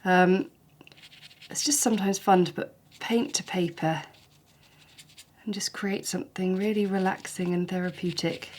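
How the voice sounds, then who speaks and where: A woman's voice: on the low side, fairly even intonation, measured pace. Snuffly woman teaching watercolour. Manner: gentle, chatty, encouraging demonstration. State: snuffly with hay fever. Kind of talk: painting tutorial.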